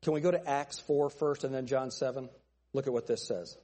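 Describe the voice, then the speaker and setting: A male pastor preaching a fiery sermon with a thin, nasal voice, pressing his points with forceful, repeated phrases. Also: medium pitch, steady tone, brisk, long reverb tail. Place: church sanctuary.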